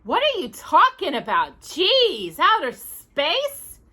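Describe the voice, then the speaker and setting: A woman's voice: extremely high pitch, wildly swooping pitch, slow, sharp tone, voice pushed up, exaggerated voice. A woman voicing a bossy, grumpy older brother in a storybook, whiny and scornful. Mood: disbelieving.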